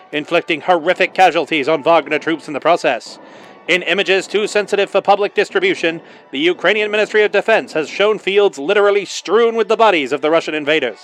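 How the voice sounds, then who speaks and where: High-pitched 1940s wartime newsreel announcer, played by a man. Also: fairly bright tone. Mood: rousing, defiant. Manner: dramatic, declamatory. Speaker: newsreel narrator. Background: music.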